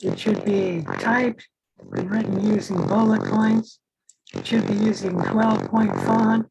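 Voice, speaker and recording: A hoarse man teaching a lab class over a video call, halting with many fillers, his faulty microphone distorting his voice. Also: high-pitched, huge pitch swings, very noisy. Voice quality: distorted mic that sounds like Darth Vader. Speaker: lab instructor. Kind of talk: online class lecture. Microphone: faulty computer mic on a video call.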